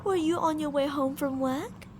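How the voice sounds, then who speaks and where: A woman's voice: sits high, normal speed, noisy background, close to an everyday voice. A woman playing teasing, possessive female mad scientists in an ASMR roleplay, coaxing and domineering with sweet menace. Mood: controlling, coaxing.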